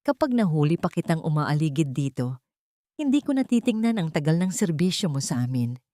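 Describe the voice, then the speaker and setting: A woman's voice: low voice, big pitch swings, a little fast, mellow, no room sound, clean studio sound. A woman voicing a cold, stern and disappointed wealthy father in an audio drama story. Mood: stern warning. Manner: stern, accusing.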